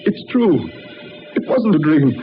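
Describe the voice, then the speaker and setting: Well-to-do haunted gentleman, genteel, dramatic, animated pitch, muffled old radio sound, faint noise. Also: unhurried, faint music behind. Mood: haunted, fearful.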